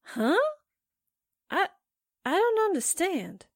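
A woman acts out a scatterbrained young assistant from a 1940s radio play. Her voice is high and swoops around, and she takes her time, sounding naive and easily flustered. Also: very animated, slow pace, dry recording, studio quality, exaggerated voice. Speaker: actor in an amateur radio drama re-creation.

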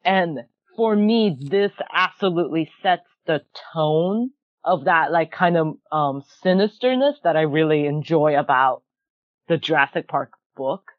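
A woman's voice: low voice, a little slow, no echo. Chatty woman on a tinny line. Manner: chatty, laughs often, many "like" fillers. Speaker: podcast guest. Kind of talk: casual podcast chat.

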